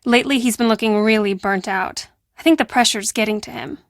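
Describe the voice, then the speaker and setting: A woman playing a brash, defensive teenage girl who works as a high school hall monitor in a video game, with a sassy, dismissive and emphatic delivery. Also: a little fast, studio quality, close to an everyday voice. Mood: matter-of-fact. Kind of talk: acted video game dialogue.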